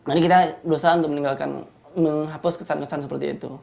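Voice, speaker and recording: A man's voice: on the high side, fairly even intonation, fast talker, vocal fry, a little mellow. A man who presents on community radio, talking in an explanatory way.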